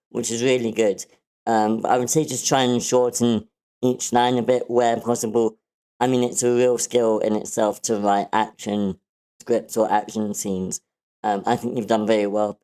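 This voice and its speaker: A man giving casual, constructive feedback, politely softening his criticism. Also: on the low side, clean tone. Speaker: participant giving script feedback.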